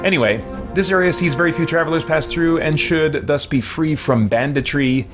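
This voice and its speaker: A man's voice: fairly high pitch, moderate pace, over music. A man giving casual let's-play commentary as a gaming host, rambling and thinking aloud as he goes. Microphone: microphone with a fan nearby.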